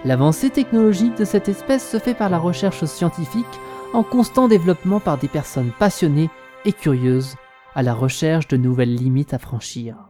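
Male narrator, slightly high-pitched, reading mock-documentary script over a music bed. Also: moderate pace, dark tone. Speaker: narrator and author.

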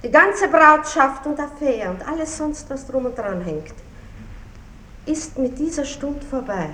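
An actress playing a worldly, dignified noblewoman, composed and gently commanding, in a radio drama. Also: high-pitched, lively intonation, a little slow, recorded from a distance, full character voice. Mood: cool, firm. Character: worldly, dignified Viennese noblewoman, wistfully renouncing. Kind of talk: 1960s German radio drama acting. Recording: roomy, faintly noisy old radio recording.

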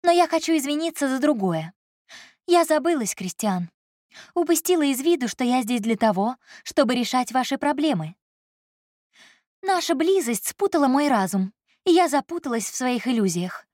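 A woman's voice: sits high, lively intonation, normal speed, darkish tone, no echo, studio quality, pitched above the performer's own voice. A woman voicing a proud, stubborn young woman who answers her employer with defiance and cutting emotion. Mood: bitter.

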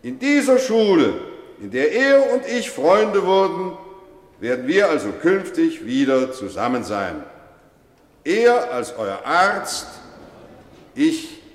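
Feierlicher Lehrer, langsam, in halligem Saal. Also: male voice, a little high, very animated. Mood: solemn, moved. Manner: deliberate, measured oratory. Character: male schoolteacher, solemn, deeply moved, addressing pupils. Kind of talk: radio play speech to an assembly. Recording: echoey hall with long reverb.

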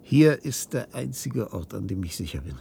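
An actor playing a weary, guilt-ridden old inventor in a science-fiction radio drama, halting and theatrical. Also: male voice, fairly low pitch, sing-song, creaky, a little hiss or hum, exaggerated voice. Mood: despairing, resigned. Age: older. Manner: halting, theatrical delivery with hushed passages.

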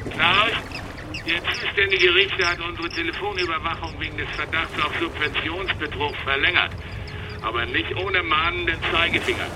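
An actor playing a tactful police colleague on the phone in a radio drama, informal and gently teasing. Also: male voice, on the high side, moderate pace, close to an everyday voice. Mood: collegial. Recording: loud background noise and ambience.

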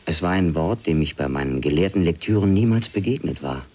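An actor playing a bookish, politely reserved young French traveller in a radio drama, reflective and hesitant. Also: male voice, deep voice, expressive, a little fast, dark tone, slight background noise. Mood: reflective, puzzled. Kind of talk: radio drama, inner monologue and dialogue.

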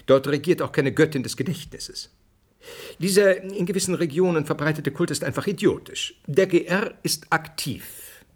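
A didactic researcher in a radio drama interview. His voice is slightly high and rough-edged, earnest and brusque as he explains. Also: moderate pace. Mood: dismissive. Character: earnest male scientist, explaining theory, brusquely dismissive of speculation.

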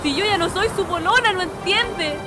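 A woman's voice: extremely high pitch, moderate pace. A woman with a Chilean accent playing a distressed, slangy young shantytown woman in an amateur audio drama. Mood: upset. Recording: loud music and crowd behind.

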